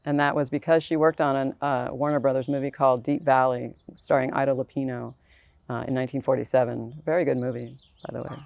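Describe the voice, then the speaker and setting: A woman's voice: rumbling low, flat delivery, fast talker, gravelly, darkish tone, dry recording, muffled recording. A woman guest author speaking thoughtfully in a panel discussion, telling stories in an articulate, reflective way.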